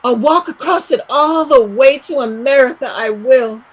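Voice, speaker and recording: A woman's voice: high-pitched, moderate pace, breathy edge, poor audio. A woman acting a restless, dreamy and bitter young Irish emigrant in a play reading, with an emphatic, lyrical delivery. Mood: rapt, dreamy.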